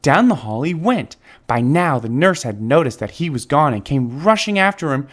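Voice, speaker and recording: Animated man reading a children's storybook. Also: a little high, moderate pace. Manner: animated, dramatic emphasis on key words. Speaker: children's book reader. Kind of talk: expressive scripted storybook narration.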